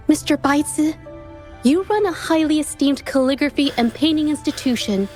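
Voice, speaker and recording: A shy young painter. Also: female voice, high-pitched. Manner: soft, hesitant, stammers when flustered. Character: shy young woman painter, gentle and earnest. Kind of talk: game voice acting. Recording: game audio with faint music underneath.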